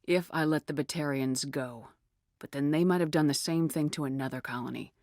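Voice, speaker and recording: A woman's voice: low voice, brisk, a little mellow, no echo, studio quality. A woman playing a tough, resolute space navy commander in a video game, speaking in a firm, terse, commanding way. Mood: firm, resigned. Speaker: video game lead character. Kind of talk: video game dialogue acting.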